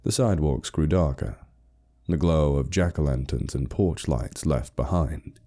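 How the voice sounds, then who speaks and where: A man narrating a scripted horror story like a dramatic audiobook, in a measured, deliberate and ominous way. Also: very deep voice, dark tone.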